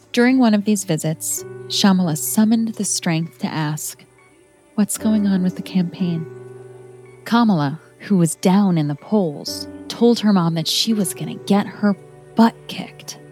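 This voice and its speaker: A woman's voice: fairly low pitch, warm tone. A woman narrating a scripted biography as a children's story, explaining things in simple words.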